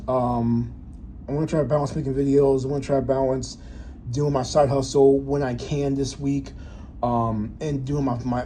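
Vlogger in his thirties, warm low-key voice, reflective and stop-start, faint room hum behind. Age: 30s. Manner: halting, reflective, many restarts and fillers. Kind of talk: personal reflective vlog.

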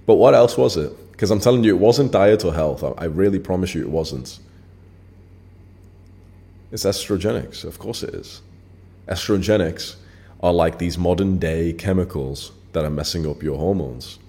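Low, mellow-voiced man in his twenties. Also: moderate pace. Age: 20s. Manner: blunt, emphatic, swears freely, heavy filler words. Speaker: channel host. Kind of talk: casual straight-to-camera monologue.

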